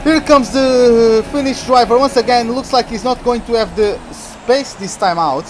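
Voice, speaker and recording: Man, streamer, live game commentary, excitable, steady background noise. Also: very high-pitched, normal speed. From Portugal. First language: Portuguese. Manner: chatty, reacts excitedly to the race. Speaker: gaming streamer.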